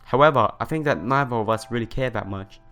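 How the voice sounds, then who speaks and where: A man's voice: medium pitch, fast, a bit bright. A young man reading visual novel narration aloud as a let's player. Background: music behind the voice.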